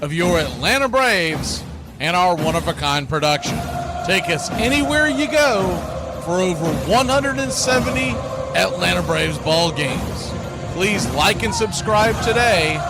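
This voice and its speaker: High-pitched, animated male baseball radio host. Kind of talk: hearty scripted broadcast welcome and promo. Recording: music under the voice. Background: music.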